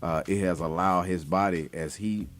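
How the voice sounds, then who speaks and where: Deep-voiced male doctor explaining conversationally. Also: fairly even intonation, moderate pace. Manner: hesitant, broken phrasing with emphatic lists. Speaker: doctor, guest.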